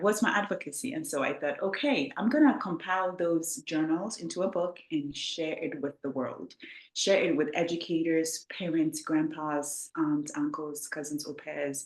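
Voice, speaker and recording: A woman's voice: deep voice, normal speed. A woman from Ghana, a guest author, telling her story in an earnest, heartfelt way. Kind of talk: interview storytelling. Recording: echoey room.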